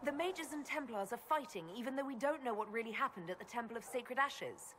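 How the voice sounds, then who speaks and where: A woman's voice: on the high side, lively intonation, fairly quick, noisy background, lightly acted. A woman playing a level-headed, inquisitive elf heroine in a fantasy video game. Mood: concerned. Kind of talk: video game dialogue acting.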